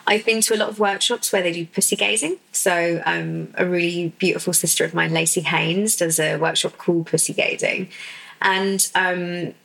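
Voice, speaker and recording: A woman who works as a sexuality coach, explaining softly and unhurriedly as a guest in a podcast interview, with many fillers. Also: on the low side, steady tone, moderate pace, vocal fry, poor audio.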